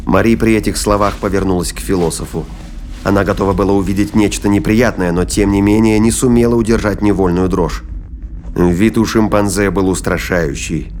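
A man's voice: sits low, fairly even intonation, a little fast, darkish tone, slight background noise. A man narrating a science-fiction thriller audio play as scripted storytelling.

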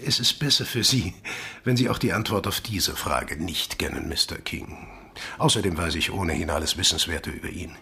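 A man playing the menacing chief of a secretive agency in a radio play, with a husky, breathy voice and a measured, politely condescending manner. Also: low-pitched, sharp tone, full character voice. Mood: guarded, condescending.

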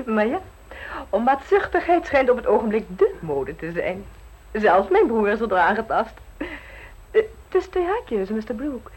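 A woman's voice: a little high, sing-song, a little slow, husky, heavily acted, low-quality recording. An actress playing a shrewd aristocratic lady hosting tea in a radio play, arch, gossipy and probing.

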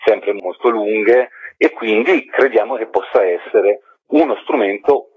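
A man's voice: fairly low pitch, a little slow, husky. A man being interviewed as a guest, courteously and articulately explaining a project. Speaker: guest, theatre company artistic director. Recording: muffled, narrow telephone line into radio.

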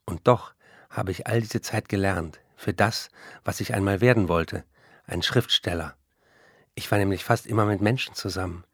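A man's voice: low-pitched, moderate pace, fairly bright tone, breathy edge, no room sound, studio quality, full character voice. An actor playing a writer in a radio play, reflectively recalling his life as if telling a story. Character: male writer reflectively recalling his farm-working years. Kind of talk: scripted radio play, first-person recollection.

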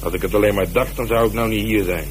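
Low-voiced, dryly confident police detective, played by a man. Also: steady tone, close to an everyday voice. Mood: coolly insistent. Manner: colloquial, measured, dryly ironic. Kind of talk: radio drama dialogue. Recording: noisy radio play recording, heavy background noise.

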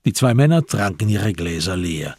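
A man with a husky voice, narrating a radio crime drama from a literary script. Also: low-pitched, sing-song, moderate pace, a little mellow, no room sound, clean studio sound.

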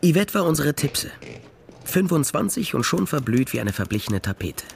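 A hard-boiled private eye from a radio crime play. His voice has a gravelly creak at an ordinary male pitch, and he speaks in a dry, laconic, sardonic manner. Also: big pitch swings, normal speed, a little hiss or hum. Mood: wry, sardonic. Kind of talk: radio crime drama, first-person narration and dialogue.

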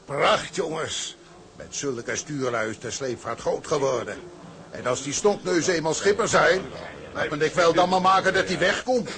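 High male voice, boastful tugboat skipper, hard-drinking, defiant, radio drama. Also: normal speed, a bit bright. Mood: gruff pride. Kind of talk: Dutch radio drama acting.

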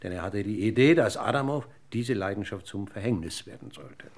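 A methodical police inspector in a radio crime play. The voice is low and gravelly. He probes with deliberate courtesy and never lets go of a point. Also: expressive. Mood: explaining, assured. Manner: deliberate, courteous interrogator's delivery. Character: male police inspector, probing, methodical, politely insistent. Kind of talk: German radio crime drama acting.

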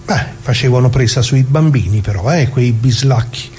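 A man's voice: mid-range voice, normal speed, darkish tone, a little hiss or hum, lightly acted. An actor playing a talkative working-class grandfather, an old leftist reminiscing in a folksy radio monologue full of asides. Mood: indignant. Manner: folksy, rambling, full of asides and rhetorical questions. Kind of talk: theatrical monologue for radio, colloquial storytelling.

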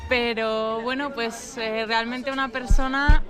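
A woman's voice: on the high side, steady tone, slow. A woman from Madrid with a Madrid Spanish accent, answering interview questions hesitantly, with many fillers and restarts. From Spain. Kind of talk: casual interview. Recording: loud music behind.

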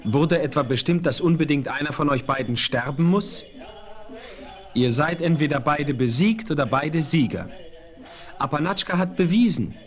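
A noble chief of the old West played by a male actor, with a somewhat high male voice. He speaks with ceremonial solemnity and calm authority, in radio play style. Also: natural voice. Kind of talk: 1970s western radio play acting.

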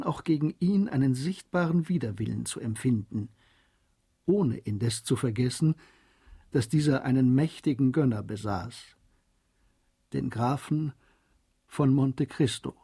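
A male narrator with a mellow, soft-edged voice at medium pitch. He reads scripted literary narration for a radio drama, with faint music behind. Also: slightly rough.

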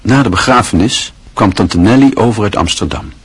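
A man narrating a radio play in a calm, measured storytelling manner. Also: lowish voice, slightly rough, no echo.

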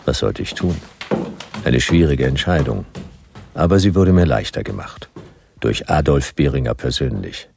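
An actor playing a dry, world-weary detective-story narrator in a German radio drama, with a gravelly voice. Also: male voice, a bass voice, animated, normal speed, a little mellow, a little hiss or hum, exaggerated voice. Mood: dry, measured. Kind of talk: scripted noir radio narration. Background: faint music.

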